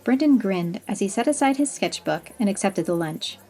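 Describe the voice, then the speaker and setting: A woman narrating a fantasy audio story in an expressive, even-paced reading, over soft music. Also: medium pitch, normal speed.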